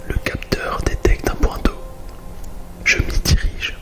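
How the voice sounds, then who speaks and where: A man playing a calm, methodical astronaut explorer in a whispered ASMR roleplay, giving slow, measured status reports. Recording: music behind the voice.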